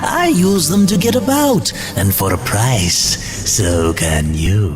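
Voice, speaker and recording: An actor playing an oily, scheming demon merchant in a video game, wheedling and theatrical with mock politeness. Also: male voice, fairly low pitch, big pitch swings, slow, over music. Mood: sly, wheedling. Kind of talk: video game cutscene acting.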